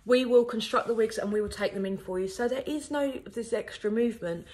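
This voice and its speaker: A woman's voice: a little high, brisk, a little mellow, slight background noise. A woman in her thirties, a wig salon owner, chatting casually and upbeat to a phone camera in her salon. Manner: chatty and upbeat, frequent ums. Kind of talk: casual how-to video. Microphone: phone camera at arm's length.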